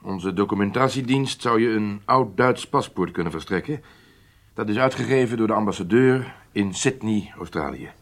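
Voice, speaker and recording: An actor playing a commanding, matter-of-fact intelligence chief in a radio drama, speaking in a deliberate, explanatory way. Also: male voice, fairly low pitch, close to an everyday voice. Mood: businesslike. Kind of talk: Dutch radio drama acting.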